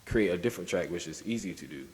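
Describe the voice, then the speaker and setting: Guy cohosting a podcast, deep voice, kinda halting and repeats himself, long echo on it. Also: expressive, a little mellow. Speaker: podcast co-host. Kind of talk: casual discussion.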